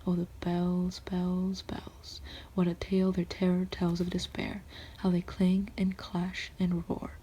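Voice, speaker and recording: A woman reading classic poems aloud in a soft, steady whisper, with rhythmic recitation. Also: lowish voice, unhurried, slight background noise, poor audio.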